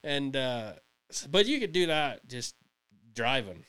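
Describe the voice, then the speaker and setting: Man, late thirties, podcast co-host, casual chat, rambling, laughs a lot, smooth resonant voice. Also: mid-range voice, unhurried. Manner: laughs often, rambling and excitable.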